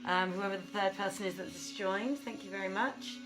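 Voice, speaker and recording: A woman's voice: medium pitch, normal speed, poor audio. A woman hosting a casual livestream at home, chatting and muttering to herself as she goes, with an apologetic manner. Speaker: host of a live online reading. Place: home room with desk and piano. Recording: livestream with music playing behind. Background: soft background music.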